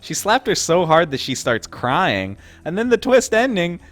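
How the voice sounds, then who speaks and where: A man's voice: high voice, very animated, measured pace, fairly bright tone, no echo. A young man giving casual, wry commentary over game footage, amused and often laughing at the absurd moments. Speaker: gaming video host.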